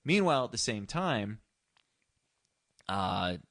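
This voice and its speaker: A man chatting casually as a podcast co-host, retelling a plot haltingly with some swearing. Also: on the low side, sing-song, fairly bright tone, no room sound, studio quality. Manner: hesitant, halting, profane.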